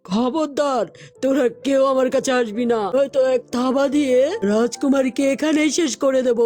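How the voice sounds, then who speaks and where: Casting: vengeful cartoon demon, played by a woman, high voice, commanding threats, music underneath. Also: fairly even intonation, normal speed, a little mellow, close to an everyday voice. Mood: gloating, threatening. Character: vengeful, menacing man-eating demon kidnapper, male. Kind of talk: children's cartoon voice acting.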